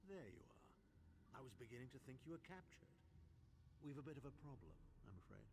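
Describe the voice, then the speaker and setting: Condescending older mage, noisy backdrop. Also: male voice, mid-range voice, sing-song, fairly quick. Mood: dry, instructive. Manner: dry, articulate, condescending. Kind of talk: video game character acting.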